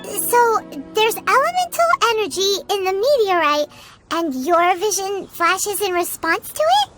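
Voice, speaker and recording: A woman voicing a tiny, childlike, excitable companion in a video game, chirpy and a little bossy. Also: very high voice, unhurried. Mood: curious, chirpy. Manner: speaks of self in third person, excitable. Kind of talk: video game character acting. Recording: game music behind the voice.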